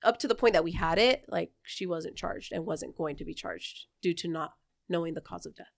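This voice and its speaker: A woman's voice: fairly low pitch, a little fast, gravelly. A woman chatting casually as a podcast guest, speaking informally with lots of filler "like". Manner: informal, conversational, frequent "like". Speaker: podcast guest, police dispatcher. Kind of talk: casual interview chat.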